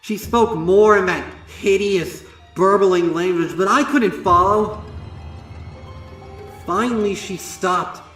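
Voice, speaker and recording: A man voicing a young female pony student, haunted and guilt-ridden, recounting horror in a dramatic, deliberate way. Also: sits high, a bit bright, pitched above the performer's own voice. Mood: bitter, distraught. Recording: echoey, distant, music behind.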